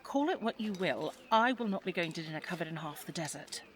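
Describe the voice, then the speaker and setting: A woman's voice: lowish voice, lively intonation, a little fast, slight background noise, natural voice. A woman playing a headstrong, caring young English daughter in a 1920s audio drama, with well-spoken, formal period phrasing. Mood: composed, confiding. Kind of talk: scripted audio drama acting.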